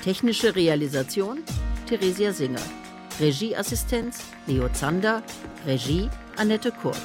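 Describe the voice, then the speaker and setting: A woman's voice: mid-range voice, animated, a little slow, music in the background. A woman reading out scripted cast and production credits as a radio drama announcer.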